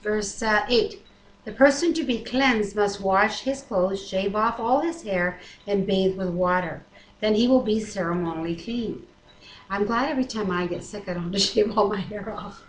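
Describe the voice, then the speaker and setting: A woman with a medium-pitched voice co-hosts a home Bible reading in a conversational, informal way. She sounds captured by a webcam microphone in a very echoey room with faint noise. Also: normal speed. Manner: conversational, adds informal asides. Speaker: co-host reading the Bible aloud. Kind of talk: casual chat and scripture reading. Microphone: webcam microphone at a distance.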